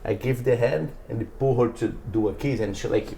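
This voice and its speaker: A Brazilian man, native in Portuguese, chatting casually as an interview guest in halting, simple English and searching for his words. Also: medium pitch, gravelly, dark tone, slight background noise. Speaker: interview guest, jiu-jitsu athlete. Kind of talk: casual conversation.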